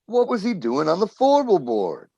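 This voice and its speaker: A man's voice: sits high, wildly swooping pitch, moderate pace, creaky, warm tone, dry recording, highs cut off, full character voice. A man playing a brusque, impatient oil crew boss in an old-time radio drama, bossy and blunt in colloquial talk. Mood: probing.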